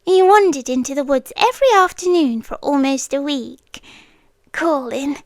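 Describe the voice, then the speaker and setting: Woman acting a hushed, high-voiced, inhuman British girlfriend. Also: animated, normal speed, dry recording, clean studio sound. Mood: wistful, nostalgic. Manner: soft, hushed, often slipping into whispers. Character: inhuman yandere childhood friend, female forest being, tender, possessive. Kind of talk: intimate ASMR roleplay monologue.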